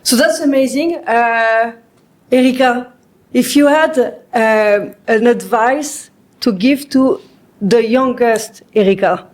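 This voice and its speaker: A woman with a French accent hosting an interview in casual English, encouraging and halting, with many fillers and restarts. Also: on the high side. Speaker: show host.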